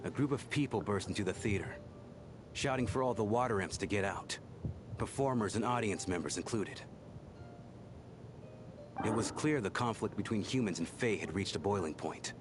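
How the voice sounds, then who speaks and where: An actor playing the remorseful ghost of a devoted bodyguard in a video game, earnest, wistful and self-effacing. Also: male voice, fairly low pitch, moderate pace, long pauses, background noise, natural voice. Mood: sorrowful recounting. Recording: game music behind the voice.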